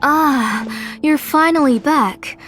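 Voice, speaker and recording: A woman playing a caring, motherly figure who gently fusses over others in an anime-style video game. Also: high voice, slow pace, faint music behind. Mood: relieved, caring. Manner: nurturing, gently nagging. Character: caring, motherly older female relative, gently fussing.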